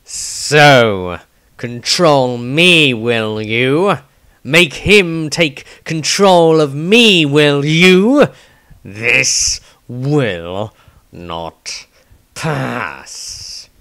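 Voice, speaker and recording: A man voicing an angry young trainee physician and secret wizard, speaking slowly and defiantly in a fantasy audiobook. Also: mid-range voice, expressive, fairly bright tone, clear, resonant tone, no room sound, studio quality, pitched above the performer's own voice, full character voice. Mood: defiant anger. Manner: slow, deliberate, defiant.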